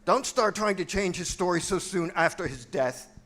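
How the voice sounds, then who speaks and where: A man's voice: sits high, lively intonation, normal speed, fairly bright tone. A man playing a cynical, hot-headed militant disciple in a dramatic reading for a church play, argumentative and sardonic. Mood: indignant, defiant.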